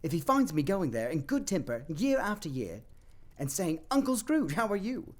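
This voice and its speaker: A man as an exuberant, high-pitched jovial young gentleman. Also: very animated, moderate pace. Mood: cheerful, determined. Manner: hearty, exuberant, loudly declaiming. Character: jovial, good-tempered young nephew of a miser. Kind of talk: audio play acting.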